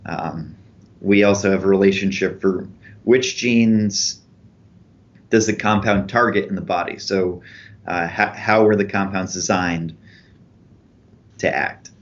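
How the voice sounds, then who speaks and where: A man being interviewed about his research explains it step by step, giving examples. His voice sits fairly low. The podcast audio is muffled and lo-fi. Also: fairly even intonation, a little slow, pauses often. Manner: clear, methodical explaining with examples. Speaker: researcher guest.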